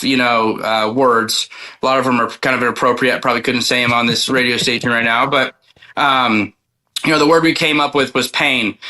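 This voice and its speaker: Bright-voiced man in a casual interview. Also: mid-range voice. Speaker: guest country singer-songwriter. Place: home music room.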